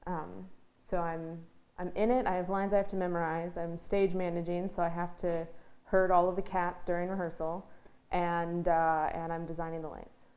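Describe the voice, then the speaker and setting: A woman's voice: on the low side, little pitch movement, normal speed, a little mellow. A woman, a stage manager being interviewed, chatting casually with a wry, jokey turn of phrase.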